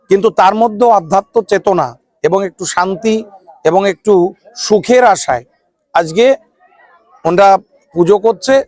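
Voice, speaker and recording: A man with an Indian Bengali accent giving an impromptu interview speech in short, halting phrases as a local guest. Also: sits high, a little mellow, dry recording. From West Bengal, India.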